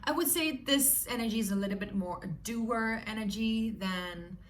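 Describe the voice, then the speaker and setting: A woman's voice: fairly high pitch, normal speed, recorded from a distance, slight background noise. A woman from Sweden with a Swedish accent, chatting casually through a tarot reading for her viewers and often asking "you know?" and "right?". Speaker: tarot reader.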